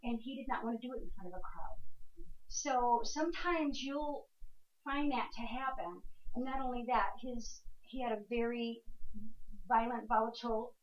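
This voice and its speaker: A woman working as a medium, giving quick, chatty readings to a live studio audience and firing off questions. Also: on the high side, muffled recording. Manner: brisk, questioning, quick to laugh, talks to the crowd. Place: stage before a studio audience.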